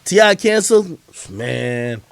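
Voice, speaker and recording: A man's voice: medium pitch, big pitch swings, slow pace, vocal fry, smooth, dry recording. Man chatting casually on a livestream. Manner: slangy, profane, repeats phrases and restarts sentences. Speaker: live streamer and hip-hop commentator. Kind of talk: casual livestream chat answering viewer questions.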